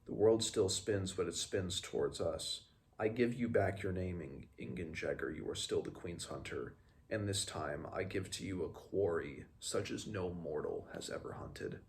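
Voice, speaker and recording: A man reading the part of a cold, imperious immortal queen from a fantasy novel in a measured, commanding way. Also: deep voice, expressive, creaky.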